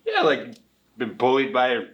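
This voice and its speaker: Young man, 20s, chatty, lots of fillers, lively pitch, slightly distant from the mic. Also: moderate pace, lo-fi sound. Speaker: interview participant. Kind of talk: casual group discussion.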